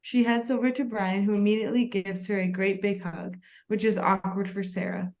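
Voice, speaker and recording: A woman's voice: mid-range voice, level tone, moderate pace, a little mellow. A woman reading out screenplay stage directions as plain scripted narration at a table read.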